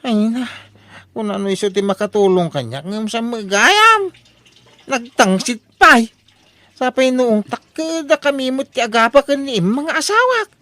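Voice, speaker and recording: A woman's voice: on the high side, sing-song, measured pace, bright, forceful tone, dry recording, full character voice. A woman playing an exasperated householder, scolding and complaining in an Ilocano comedy radio drama.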